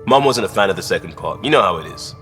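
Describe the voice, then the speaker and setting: Plainspoken male space rescuer for a game: lowish voice, earnest, casual, slight music bed. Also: lively intonation, fast talker, a bit bright. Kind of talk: video game character dialogue. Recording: hall reverb, faint music behind.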